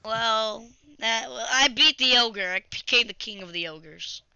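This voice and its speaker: A young gamer hosting a casual video, chatting and improvising a story in a halting, rambling way. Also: medium pitch, pushed and bright, clean tone, no room sound. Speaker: video host and player. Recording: dull lo-fi webcam-grade audio.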